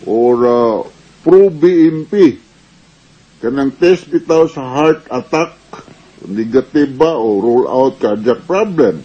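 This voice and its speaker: A man's voice: medium pitch, expressive, takes it slowly, husky, highs cut off. A man with a Cebuano Filipino accent, speaking as a doctor giving informal health advice in a conversational manner, mixing in English medical terms. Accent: Filipino, Cebuano Bisaya. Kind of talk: informal medical advice talk answering listener questions.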